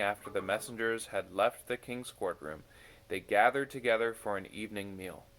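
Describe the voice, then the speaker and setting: A man reading a bedtime story aloud in an expressive storytelling style. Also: fairly low pitch. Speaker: story reader.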